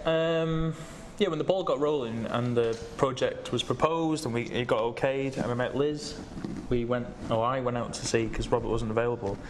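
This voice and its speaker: A man's voice: mid-range voice, normal speed, few pauses. A man, a lecturer, talking informally on a panel in a large gallery, picked up by a distant microphone. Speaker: senior lecturer on a discussion panel. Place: large gallery room with seated audience. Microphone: distant camera microphone. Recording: noisy room, distant microphone.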